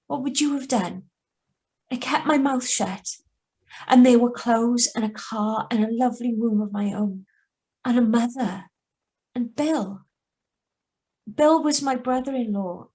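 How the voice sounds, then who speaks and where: An actress plays an anxious young woman hiding behind a false name in a suspense radio drama. Her voice sits fairly high. She tells and lives the story in the manner of old radio, read over a video call. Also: unhurried, pauses often, mellow. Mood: confessional, tense. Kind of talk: 1940s-style radio suspense narration and dialogue. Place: remote live reading over video call.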